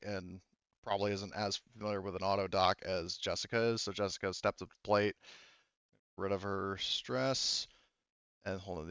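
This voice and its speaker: Male game master, smooth lowish voice, thinks aloud haltingly through rules and improvised scene narration. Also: a bit bright, dry recording, dull audio.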